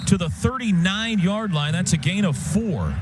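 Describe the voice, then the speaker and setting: A man's voice: high-pitched, expressive, normal speed, very noisy. An American man doing live radio play-by-play of a football game in short, clipped, descriptive phrases. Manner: clipped, descriptive call of the action. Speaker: play-by-play announcer. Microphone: broadcast booth microphone. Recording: loud stadium sound behind radio broadcast.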